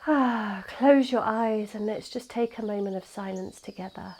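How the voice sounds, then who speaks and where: A young woman teaching a gentle yoga class, her voice congested and nasal from a cold, guiding slowly and calmly. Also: medium pitch. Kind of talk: guided yoga class instruction.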